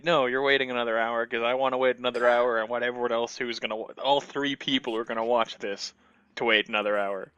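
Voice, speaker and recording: A man's voice: mid-range voice, fairly even intonation, fast, fairly bright tone, clear, resonant tone, dry recording, muffled recording. A man giving casual gaming commentary in a sardonic, grumbling, profane way. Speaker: Let's Play commentator.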